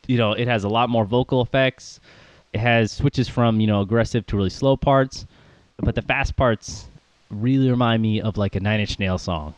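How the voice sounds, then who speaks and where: A man's voice: mid-range voice, moderate pace, dry recording. A man co-hosting a casual podcast about music, rambling with plenty of fillers and little laughs. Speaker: podcast co-host.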